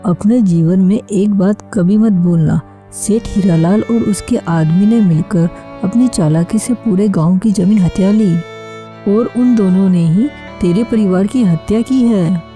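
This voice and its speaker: A woman's voice: medium pitch, measured pace, warm tone, faint music behind, natural voice. A woman voicing a protective, grave village uncle in a cartoon moral story, solemn and fatherly. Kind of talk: Hindi animated moral story dialogue.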